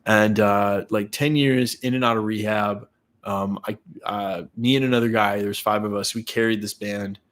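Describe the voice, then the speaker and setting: A man's voice: on the low side, steady tone, moderate pace, a bit bright. Congested man chatting on a live stream. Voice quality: nasal, stuffy. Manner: loose and chatty, reads viewer comments aloud and answers them. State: congested from a cold. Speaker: YouTuber hosting a live watch party. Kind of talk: casual live-stream chat reading comments.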